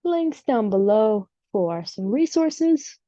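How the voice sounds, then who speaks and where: A woman's voice: fairly high pitch, sing-song, slow, dark tone, no echo, lo-fi sound. A woman with a Chicago accent hosting her own podcast, talking casually and rambling with lots of ums. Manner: rambling and chatty, with many ums. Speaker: podcast host. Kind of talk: casual solo podcast chat. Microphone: home setup without usual equipment.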